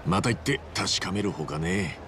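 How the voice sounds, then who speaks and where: A man playing an earnest, hot-blooded middle-aged hero in a game, with heartfelt, rough-edged phrasing. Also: low-pitched, expressive, normal speed, background noise. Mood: determined. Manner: rough casual speech, heartfelt. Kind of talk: Japanese game voice acting. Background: music.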